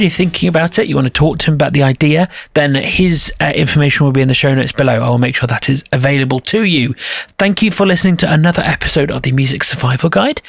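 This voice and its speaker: A man's voice: medium pitch, vocal fry, husky, dry recording, clean studio sound. A man from Leeds with a Yorkshire accent, an upbeat and chatty podcast host wrapping up the show. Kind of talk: casual podcast hosting and outro.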